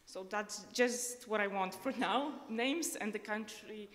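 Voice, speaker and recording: Slow hesitant woman in a reverberant hall. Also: medium pitch, a bit bright. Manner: slow, hesitant, many fillers. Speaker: moderator. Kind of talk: panel discussion moderating. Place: conference hall.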